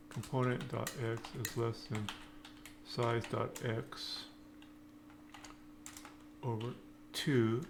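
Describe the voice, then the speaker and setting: A man giving an informal live-coding tutorial, thinking aloud in halting, piecemeal phrases. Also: medium pitch, creaky. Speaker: programming tutorial instructor. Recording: noticeable background noise, keyboard typing.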